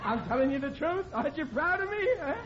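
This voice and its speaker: High-voiced, bumbling American husband. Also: male voice, animated, fast talker, a loud crowd. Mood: earnest, proud. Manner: stammering, protesting, pleading. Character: bumbling, earnest, excitable working-class husband. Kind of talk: 1940s radio sitcom acting. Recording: dull, noisy old radio recording.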